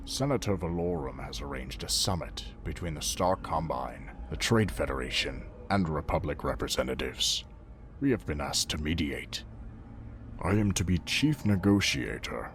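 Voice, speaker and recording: Very deep, stern old Jedi master played by a man. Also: animated, normal speed, a little hiss or hum. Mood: wry, commanding. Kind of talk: comic dub voice acting. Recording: loud music under the voice.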